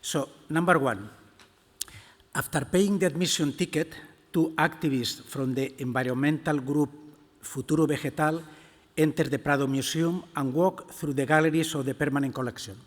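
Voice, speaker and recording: Keynote: older Spanish-accented man, slightly high voice, slow careful reading, reverberant hall. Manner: slow and deliberate, with many hesitations. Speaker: keynote speaker. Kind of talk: reading a conference lecture. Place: large conference hall. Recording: echoey conference hall.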